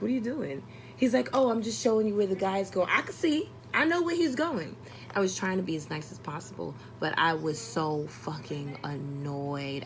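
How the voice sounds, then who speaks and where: A woman chatting casually as a vlogger, telling a personal story in an expressive, exasperated, sweary and comedic way. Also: low voice, a little hiss or hum.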